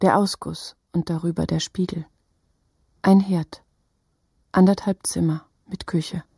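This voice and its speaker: A woman narrating a literary radio drama in a husky, breathy voice, with halting, fragmented phrasing. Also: fairly low pitch, level tone, mellow, dry recording, studio quality.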